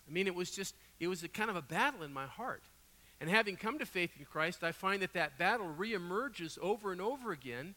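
Guest preacher, man, raspy from a cold, bright forceful delivery, long church-hall reverb. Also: high voice, a little fast. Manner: animated, conversational storytelling with asides. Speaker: guest pastor. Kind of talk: sermon. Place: church sanctuary.

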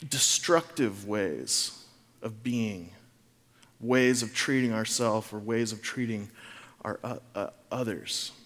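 A man's voice: a little high, fairly bright tone. A middle-aged man preaching as a pastor in a conversational, reflective sermon style, informal and often posing rhetorical questions. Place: large reverberant room, speaking to a congregation. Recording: long reverb of a large room.

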